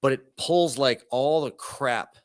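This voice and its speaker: Casting: man in his thirties, mid pitch, dry close sound, loose joking chat. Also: takes it slowly, no room sound. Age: 30s. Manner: chatty, joking, lots of "like" and "okay". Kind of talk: casual chat.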